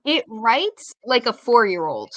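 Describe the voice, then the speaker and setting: A woman's voice: fairly high pitch, big pitch swings, unhurried, a bit bright, dry recording. A woman co-hosting a podcast in casual, opinionated conversation, blunt and informal with a jokey streak. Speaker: podcast co-host.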